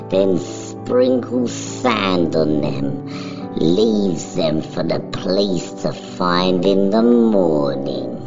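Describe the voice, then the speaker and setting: A woman giving a solemn, measured, scripted dramatic narration of a story. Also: extremely low pitch, gravelly. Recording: dull recording, music behind.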